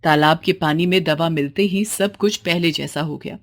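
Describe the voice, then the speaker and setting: Slightly low-voiced woman storyteller. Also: normal speed. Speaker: narrator. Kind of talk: scripted story narration.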